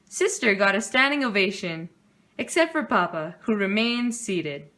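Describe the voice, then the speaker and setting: A woman reading a children's storybook aloud in a deliberate way for young listeners. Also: medium pitch, animated, pushed and bright. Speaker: storybook reader.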